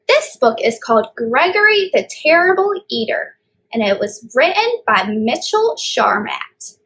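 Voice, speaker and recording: A woman's voice: high voice, a bit bright, low-quality recording. A woman leading a children's story time as a librarian, explaining things simply and stressing key words. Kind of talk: read-aloud storytime for young children.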